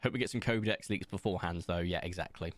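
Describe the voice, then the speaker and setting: A man streaming from home, chatting casually in a rambling, self-mocking way and pausing often. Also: deep voice, dry recording, soft background music. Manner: rambling, self-mocking, hesitant with many ums. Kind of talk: casual chat reading viewer comments while playing.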